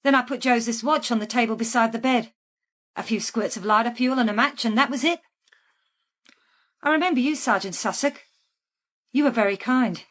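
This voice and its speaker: A woman's voice: fairly high pitch, normal speed, pauses often, fairly bright tone. An actress playing a calculating woman who confesses to killing in a matter-of-fact, unrepentant way, in a naturalistic radio crime drama. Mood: matter-of-fact, reminiscing. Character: calculating female killer calmly confessing in a police interview.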